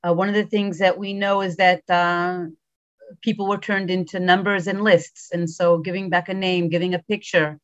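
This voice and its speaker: A woman moderator with a fairly low voice speaks fluently and encouragingly to the panelists before handing over to the next speaker. The sound is dull and lo-fi. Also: little pitch movement, normal speed.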